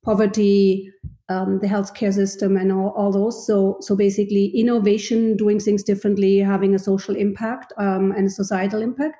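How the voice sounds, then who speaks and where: A woman from Germany with a German accent, a university vice president answering interview questions in a thoughtful, measured way with frequent pauses. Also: mid-range voice, level tone, mellow, echoey room. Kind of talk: podcast interview.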